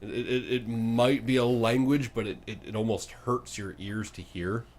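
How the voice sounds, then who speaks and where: A man hosting and narrating a tabletop fantasy game, improvising dramatic, halting descriptions as game master. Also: medium pitch, fairly even intonation, slight background noise.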